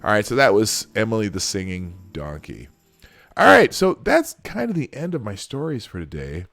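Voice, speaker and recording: A man's voice: fairly low pitch, very animated, normal speed, creaky, fairly bright tone, dry recording. A man hosting a casual livestream, rambling and correcting himself as he reads comments aloud. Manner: rambling, self-correcting, chuckling. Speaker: livestream host. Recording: background music under voice.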